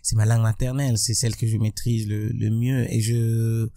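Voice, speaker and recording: Man, gravelly, dry close sound, reflective, stop-and-start phrasing. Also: fairly low pitch, flat delivery, mellow, no echo. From Burkina Faso. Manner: thoughtful, halting with many restarts. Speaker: musician guest. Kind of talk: reflective interview talk. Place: bright room with plants and large windows. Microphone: studio mic on a boom arm.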